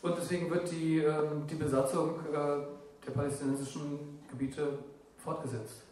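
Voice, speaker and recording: A man making a spontaneous, somewhat halting comment from the audience at a discussion. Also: on the high side, flat delivery, a little mellow. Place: audience at indoor live event. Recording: very echoey, distant, noisy room pickup.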